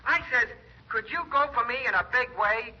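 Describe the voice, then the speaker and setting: An actor playing an eager, excitable, slangy young soldier in love, heard over a telephone in 1940s radio comedy. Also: male voice, very high voice, moderate pace, full character voice. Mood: elated. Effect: telephone filter. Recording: thin phone line on old radio.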